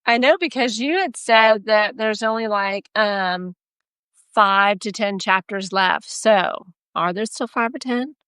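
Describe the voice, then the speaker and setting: A woman with a Texas accent co-hosting a casual chat, animated and self-mocking as she tells stories. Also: medium pitch, slow, smooth, no echo. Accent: Texan. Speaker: podcast co-host.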